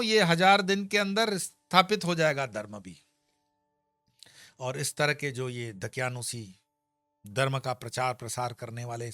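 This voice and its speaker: Male spiritual teacher, bright forceful voice, emphatic discourse, close dry mic, faint music underneath. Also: medium pitch, no room sound. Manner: emphatic, scolding asides with homely examples. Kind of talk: Gita discourse.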